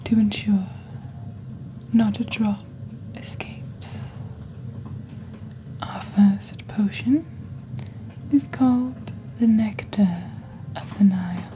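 A young woman playing a composed witch who presents a shopping channel in soft, breathy, half-whispered ASMR. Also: medium pitch. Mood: composed, mysterious. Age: young adult. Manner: slow, deliberate, measured. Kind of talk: ASMR roleplay, scripted product presentation.

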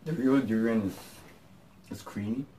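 A young man from Singapore, a student, chatting casually in a relaxed, soft-spoken way. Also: lowish voice, normal speed, rough recording. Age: young adult. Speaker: student guest. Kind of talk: casual chat. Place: small indoor room by a window.

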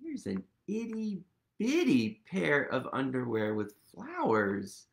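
Man, children's read-aloud host, slow pace, very lively pitch swings, expressive, questioning. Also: creaky. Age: 30s. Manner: animated, expressive, asking listeners questions. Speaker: host, museum literacy educator reading aloud. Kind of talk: picture book read-aloud for young children. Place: indoors, small quiet room at home.

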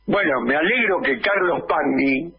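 Argentine male radio commentator, 60s, husky, deliberate, emphatic, lo-fi studio sound. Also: fairly high pitch. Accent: Argentine Spanish. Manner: slow, emphatic, insistent, with frequent "eh" fillers. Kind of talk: political radio editorial. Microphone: studio mic on boom arm, wearing headphones.

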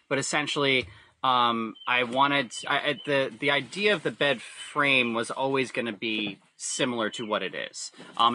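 A man's voice: medium pitch, sharp tone, slight background noise. A man speaking as a podcast guest in casual, personal storytelling, rambling a little and correcting himself. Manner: rambling, halting, self-correcting. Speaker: podcast guest, hobby camper builder.

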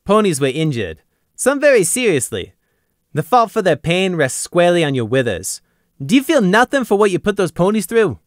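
Stern raspy male guard with fangs, commanding and lively in tone, as a male narrator puts him on. The voice is dry and close. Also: on the high side, normal speed, no echo, pitched above the performer's own voice.

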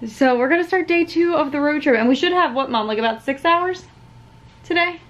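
A woman in her twenties chatting casually and haltingly to camera in an informal, conversational way. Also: high-pitched, fairly bright tone, muffled recording. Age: 20s. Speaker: vlogger. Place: hotel room, indoors.

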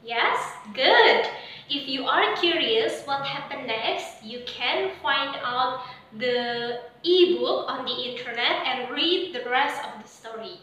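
A woman's voice: high voice, lively intonation, slow, sharp tone. A young adult woman, an English teacher giving instructions to a pupil in a classroom. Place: bare indoor classroom before a whiteboard. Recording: very echoey room.

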